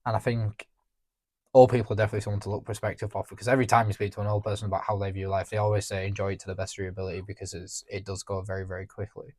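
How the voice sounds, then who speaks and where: Young male podcaster; low, monotone, dry-recorded, halting confessional talk. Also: no echo. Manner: halting, reflective, many restarts. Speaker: podcast co-host. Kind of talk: candid emotional conversation.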